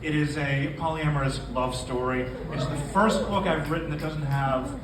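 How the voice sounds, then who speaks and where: A man's voice: a little high, normal speed, recorded from a distance. Man at a reading, noisy echoey room. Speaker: novelist. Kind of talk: live literary reading with informal introduction. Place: indoor live venue.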